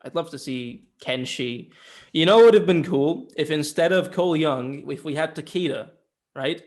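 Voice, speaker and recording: Blunt man with a higher-pitched voice. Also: normal speed, darkish tone. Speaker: film review co-host. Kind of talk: casual opinionated film discussion.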